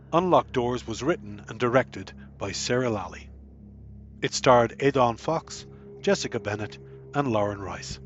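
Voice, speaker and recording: A man hosting a radio play anthology, reading a scripted announcement. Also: medium pitch. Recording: muffled, slightly noisy recording.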